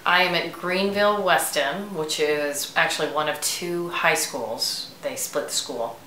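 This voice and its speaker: A woman's voice: low voice, unhurried, pushed and bright. A woman of forty-four, a teacher in training, giving a personal account in an echoey room. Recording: echoey room, faint background noise.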